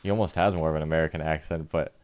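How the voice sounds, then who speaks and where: A man co-hosting a reaction video, speaking in a thoughtful, unhurried way. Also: extremely low pitch, steady tone, a little mellow. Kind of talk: casual reaction chat.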